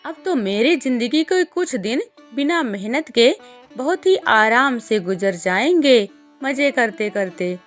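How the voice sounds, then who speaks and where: Woman voicing a high, indignant scheming lady crow. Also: animated, takes it slowly, faint music behind. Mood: smug, gleeful. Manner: scolding, boastful exclamations. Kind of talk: children's cartoon story voicing.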